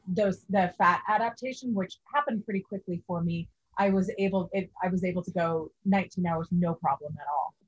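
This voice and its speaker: A woman's voice: mid-range voice, breathy edge, highs cut off. A woman in her forties, a guest in a casual interview, speaking earnestly. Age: 40s.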